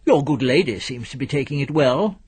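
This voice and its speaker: A man voicing a brisk, matter-of-fact male official in an audiobook, casual and businesslike. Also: mid-range voice, animated, a little mellow, slightly rough.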